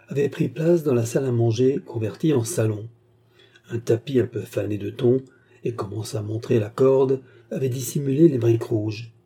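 Very dark, husky male audiobook narrator. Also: mid-range voice. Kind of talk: literary scripted narration.

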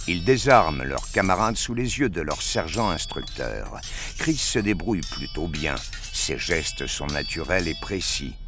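A man's voice: sits low, fairly bright tone, a little hiss or hum. A man narrating a scripted science fiction audio drama in the manner of a storyteller.

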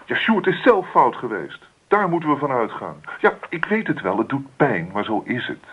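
An actor playing an indignant, loyal grown son of a farming family in a radio drama, earnest and insistent. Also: male voice, mid-range voice, big pitch swings, normal speed, husky. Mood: heated. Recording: muffled band-limited radio recording.

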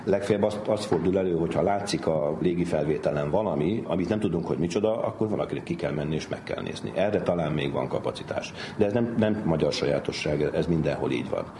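A man explaining as an expert in an interview, haltingly, repeating words. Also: extremely low pitch, warm tone. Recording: noticeable background noise and ambience.

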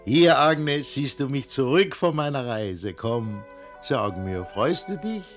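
Excitable bossy gentleman, swooping theatrical delivery. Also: mid-range voice, slow pace, faint music behind. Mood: smug, tender. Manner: theatrical rhymed verse, exclamatory, chuckling. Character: excitable, bossy older gentleman master of a household. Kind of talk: classic German radio play of a verse comedy.

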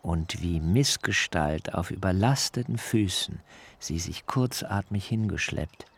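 A man's voice: low-pitched, lively intonation, a little slow, vocal fry, slightly rough, heavily acted. An actor playing a reflective biblical son who recalls his mother's tales in a literary, storytelling manner for a radio drama.

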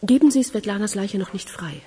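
A woman playing a composed, probing police investigator in a German radio crime drama, questioning calmly and methodically. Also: mid-range voice, brisk, a little hiss or hum, close to an everyday voice. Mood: pensive, firm.

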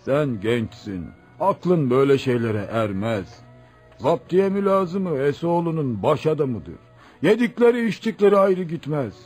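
A man's voice: fairly high pitch, sing-song, unhurried, a little mellow, breathy edge, faint music behind, heavily acted. An actor playing a weary, protective old village elder in his seventies, in a radio drama with an exclamatory, paternal delivery. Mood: paternal, discouraging. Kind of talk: radio theatre acting.

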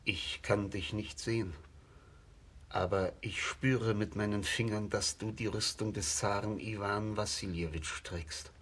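A man's voice: on the low side, steady tone, a little slow, a bit bright, breathy edge. A man acting an old, blind, weary tsar, solemn and fatherly, in a stately children's radio drama manner. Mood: solemn, welcoming.

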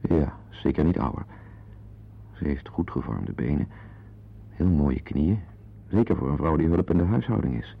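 Wry, weary playwright-narrator. Deep, creaky, intimate and digressive, on a dull radio recording. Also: male voice, animated, moderate pace, pauses often, full character voice. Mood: dry, confiding. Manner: confiding, dry, digressive. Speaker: narrator-protagonist of a radio play. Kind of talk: intimate first-person radio play narration.

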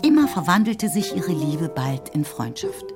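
A woman's voice: sits low, sing-song, measured pace, loud music behind the voice. A woman giving composed, scripted literary narration as the storyteller of a radio play.

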